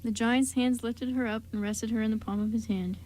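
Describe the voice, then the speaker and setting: Mellow-voiced woman narrator. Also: on the high side, fairly even intonation, a little fast, a little hiss or hum, low-quality recording. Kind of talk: scripted story narration for amateur radio drama.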